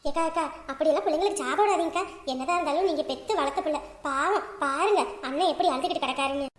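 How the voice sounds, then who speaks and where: A woman's voice: high voice, racing, natural voice. A woman playing an anxious, sympathetic village neighbour in a family drama, pleading and consoling. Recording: echoey room sound.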